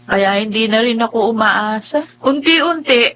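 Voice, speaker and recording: A woman playing a sweet, playful but resigned blind young wife in a Filipino radio drama, with expressive delivery. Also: on the high side, unhurried, slight background noise. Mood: resigned, gentle.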